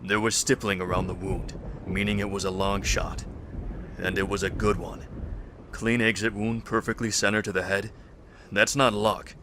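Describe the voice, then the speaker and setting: A man's voice: on the low side, flat delivery, moderate pace, background noise, natural voice. A man playing a guarded, suspicious man with security training in an audio drama, speaking seriously and with authority. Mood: tense, insistent.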